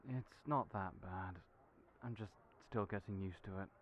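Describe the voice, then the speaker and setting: Hesitant, soft-spoken man, slightly low voice, stammers, audio roleplay lead. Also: measured pace, slight background noise. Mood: reluctant.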